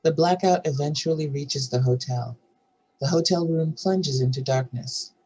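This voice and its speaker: A woman's voice: sits low, normal speed, mellow, breathy edge, rough recording. A woman reading stage directions as scripted narration for a drama table read.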